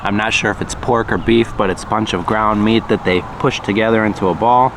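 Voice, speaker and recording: An American man with a fairly low voice talks slowly and plainly. He pauses between bites of food and stops to explain words for learners. Traffic and street noise hum steadily behind him. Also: fairly even intonation, gravelly. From United States. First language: English. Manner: slow, deliberate, explains words as he goes. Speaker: language teacher and vlogger. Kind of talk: slow, clear English lesson vlog.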